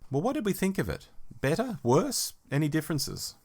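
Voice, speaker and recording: A man's voice: fairly high pitch, sing-song, moderate pace, a little mellow, husky. A middle-aged man chatting casually as a podcast co-host, prompting his partner in a review discussion.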